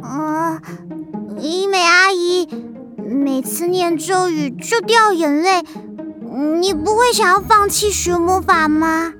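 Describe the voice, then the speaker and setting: A woman's voice: very high voice, slow pace, over music. An actress playing a timid, sweet, curious little witch girl in a children's audio drama, speaking in a tentative, childlike way.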